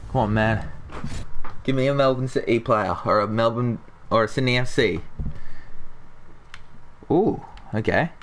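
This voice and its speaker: A man's voice: mid-range voice, a little slow, long pauses, a little hiss or hum. A young man with a slightly sick, nasal voice, chatting casually over gameplay as a channel host and talking to viewers. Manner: chatty, talks to viewers and chat.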